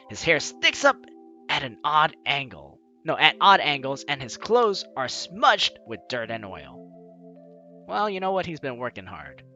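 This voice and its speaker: A man's voice: medium pitch, sharp tone. A man reading a story game aloud as a let's player, with dramatic narration and casual asides. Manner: dramatic reading, slipping into offhand comments.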